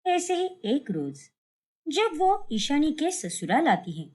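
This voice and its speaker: A woman's voice: high-pitched, big pitch swings, slow, darkish tone. A woman reading scripted narration for a cartoon family story.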